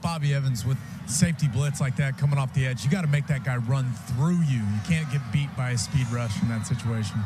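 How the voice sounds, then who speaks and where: A man's voice: mid-range voice, a little fast, few pauses, over music. An American man giving blunt, coach-like opinions as a football commentator. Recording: loud stadium noise behind the voice.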